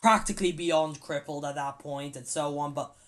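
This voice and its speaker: A casual podcast co-host with an even, middle-pitched voice who wanders through thoughts and often stops mid-sentence to hunt for a word. The delivery is loose and conversational, with the odd swear dropped in without much weight. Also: moderate pace. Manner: rambling, searches for words aloud. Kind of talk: casual film discussion podcast.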